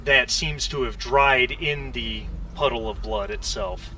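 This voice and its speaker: A man narrating a tabletop role-playing game as game master, describing scenes in a halting, improvised way. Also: medium pitch, sharp tone, soft background music. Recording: distant mic with clear background noise.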